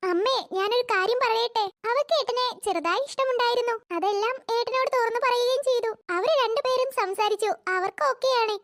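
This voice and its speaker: A performer voicing a teasing young woman in a family cartoon, with a childlike, pitched-up sound. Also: a little fast, hardly any pauses, a bit bright, dry recording. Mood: earnest. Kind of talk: Malayalam family cartoon dialogue.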